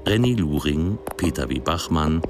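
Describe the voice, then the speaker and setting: A man's voice: extremely low pitch, lively intonation, moderate pace. A man reading radio drama credits as a formal announcer. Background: loud music.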